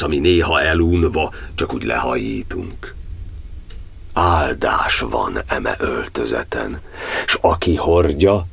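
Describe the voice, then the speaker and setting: Radio drama proud, reproachful old cardinal: husky, crackling voice, impassioned verse with wide swings. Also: male voice, extremely low pitch, no room sound, full character voice. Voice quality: raspy, gravelly. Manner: declamatory, impassioned. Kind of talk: verse monodrama radio play acting.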